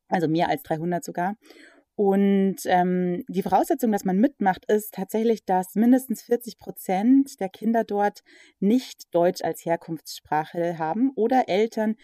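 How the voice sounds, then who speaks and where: Relaxed woman guest with a mellow voice. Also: fairly low pitch, no echo, clean studio sound. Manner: relaxed, rambling with fillers. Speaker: guest, magazine editor. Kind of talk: casual interview chat.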